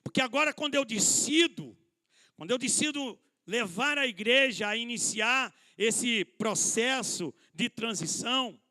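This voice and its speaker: A man's voice: extremely high pitch, sharp tone. A middle-aged male pastor preaching a conversational sermon at a church conference, calling the listeners brothers, asking rhetorical questions and joking about himself. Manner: addresses listeners as brothers, rhetorical questions, self-deprecating humor. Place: church hall.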